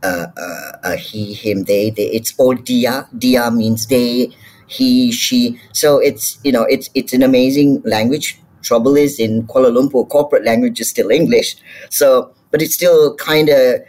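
A man's voice: medium pitch, measured pace, darkish tone, rough recording. A man answering on a panel, hesitant, with many ums and restarts. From Malaysia. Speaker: panel speaker. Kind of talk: casual spoken answer on a panel.

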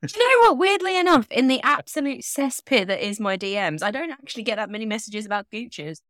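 A woman's voice: fairly high pitch, very animated, fairly quick, fairly bright tone, no echo, studio quality. Bubbly rambling woman podcast host. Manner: bubbly, rambling, jokey tangents. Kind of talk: casual chat.

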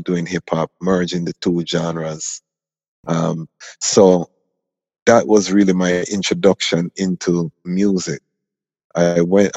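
A man in his forties, born in Jamaica and raised in Canada, telling his story as an interview guest in an unhurried, reflective way. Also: deep voice, gravelly, a little mellow, breathy edge, dry recording, big-room sound. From Canada (Toronto), born in Jamaica. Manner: unhurried, reflective, frequent ums. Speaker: guest, music conference founder.

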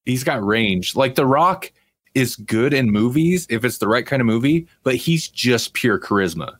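A man's voice: mid-range voice, lively intonation, normal speed, fairly bright tone, no echo. A man co-hosting a podcast, chatting casually about pop culture. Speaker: podcast co-host.

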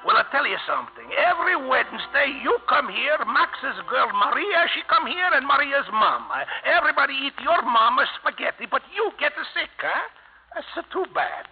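High-pitched, animated old Italian papa. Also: male voice, moderate pace, full character voice. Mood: sarcastic, needling. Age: older. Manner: blunt, mocking, broken English. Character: domineering older Italian immigrant father and banker. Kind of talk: 1950s radio drama acting. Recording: muffled 1950 radio broadcast, music behind.

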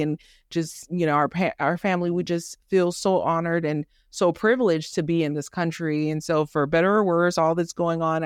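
A woman podcast guest tells personal stories conversationally, earnest and emphatic. Her voice is very clear and smooth, on a close, dry recording. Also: low-pitched, no room sound. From born in Haiti, raised in Miami. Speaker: podcast guest, doctor and rental operator.

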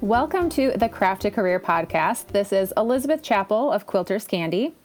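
A woman's voice: medium pitch, expressive, moderate pace, darkish tone, soft background music. A woman hosting her own podcast, talking in a chatty, enthusiastic way with self-deprecating asides. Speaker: podcast host. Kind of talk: casual solo podcast talk.

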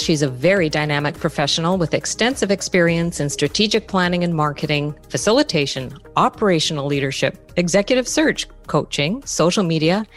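A woman hosting a business podcast, reading a prepared introduction and statistics before questioning her guest. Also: deep voice. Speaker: podcast host.

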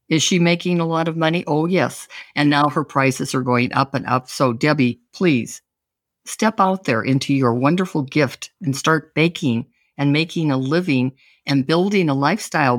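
A woman hosting an interview show, talking with warm enthusiasm and an effusive manner. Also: sits low, dry recording, studio quality. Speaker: host.

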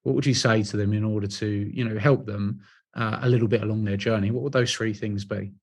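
A man's voice: fairly low pitch, steady tone, quick pace, husky. A man hosting a business podcast, asking long, encouraging questions with small restarts. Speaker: podcast host. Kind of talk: interviewing.

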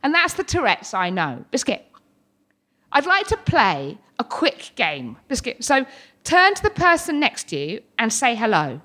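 A woman's voice: on the high side, wildly swooping pitch, measured pace, bright, forceful tone. A British woman in her thirties telling a comic story live, playfully, with frequent involuntary verbal tics breaking into her speech. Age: 30s. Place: live storytelling event with an audience.

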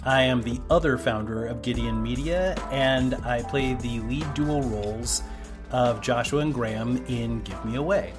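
A man's voice: medium pitch, unhurried, over music. A middle-aged man co-hosting a podcast introduction in a conversational style. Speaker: podcast co-host, actor.

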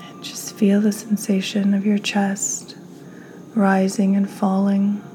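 A woman leads a guided meditation in a soft, calm voice. Her pace is slow and her pitch stays very even. The tone is mellow and gentle, often close to a breathy whisper. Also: soft background music, low-quality recording. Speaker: podcast host, meditation guide.

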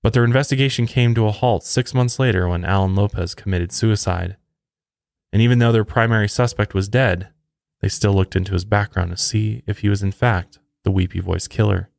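A man's voice: fairly low pitch, fairly even intonation, fairly quick, vocal fry, a little mellow, no echo, studio quality. A man hosting a true crime podcast, reading a scripted narration.

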